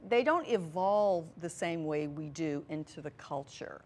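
A woman's voice: sits low, expressive, unhurried, darkish tone. A mature woman speaking as an interview guest, conversational and anecdotal, repeating phrases for emphasis. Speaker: interview guest, small business representative.

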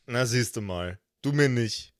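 A man's voice: medium pitch, lively intonation, unhurried, dry recording, studio quality. A man talking casually and playfully as a podcast cast member. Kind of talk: casual chat, reading out names.

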